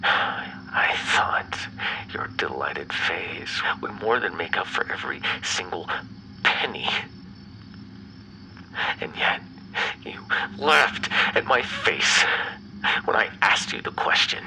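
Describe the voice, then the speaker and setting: A man playing an obsessive, bitter, menacing ex-lover in an ASMR roleplay, speaking in a breathy, brooding half-whisper. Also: low-pitched, big pitch swings, sharp tone, a little hiss or hum, heavily acted, rough recording. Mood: resentful, menacing. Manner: slow, brooding, theatrically menacing. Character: obsessive, bitter yandere male vampire ex-lover, menacing captor.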